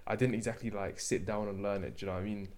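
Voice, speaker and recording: A man's voice: lowish voice, steady tone, rapid-fire, darkish tone. A young man, a podcast guest chatting in a laid-back, slangy way. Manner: laid-back slangy chat, says "bro" and "innit". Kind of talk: casual chat.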